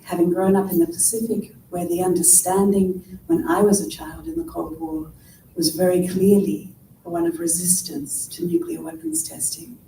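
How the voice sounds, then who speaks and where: Mellow woman in an echoey hall. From Australia. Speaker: panel speaker. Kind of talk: conference panel talk. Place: large conference hall. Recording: echoey hall, distant mic, faint rumble.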